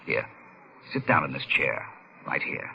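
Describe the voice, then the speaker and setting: An actor playing a cold, scheming murderer who coaxes and calculates, in old-time radio style. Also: male voice, extremely low pitch, very animated, measured pace, creaky, pushed and bright, highs cut off, heavily acted. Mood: scheming, hushed. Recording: noisy vintage radio recording.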